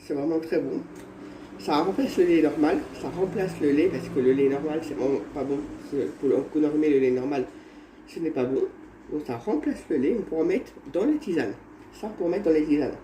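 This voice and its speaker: A man vlogging at home while he has a cold, showing his remedies in a halting, rambling way that repeats itself. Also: fairly high pitch, warm tone. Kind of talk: casual home how-to vlog. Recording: echoey room with faint background noise.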